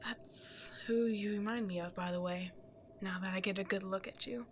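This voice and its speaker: A woman playing a remorseful, self-loathing cursed princess turned beast in an ASMR roleplay, halting and confessional, often hushed to near-whispers. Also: mid-range voice, steady tone, a little hiss or hum, close to an everyday voice. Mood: resigned, somber. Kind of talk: dramatic ASMR roleplay monologue to the listener.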